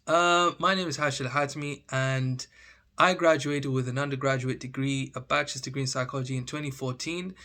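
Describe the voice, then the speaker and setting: A man's voice: mid-range voice, fairly even intonation, a little fast, sharp tone. A man in his thirties from Oman with a British accent, chatting casually to camera in a vlog, with many fillers and restarts. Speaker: vlogger.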